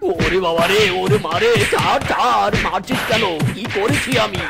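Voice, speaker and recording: Man voicing a high-pitched, melodramatic male cartoon animal. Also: normal speed, creaky. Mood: pained, protesting. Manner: declamatory, melodramatic. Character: blustering, theatrical adult male cartoon animal. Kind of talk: exaggerated comic cartoon dubbing. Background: music.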